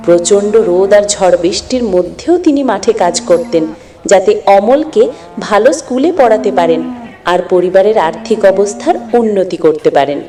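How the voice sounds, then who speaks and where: A woman's voice: a little high, a little mellow. A woman narrating a scripted moral story as the storyteller. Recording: long echoing reverb.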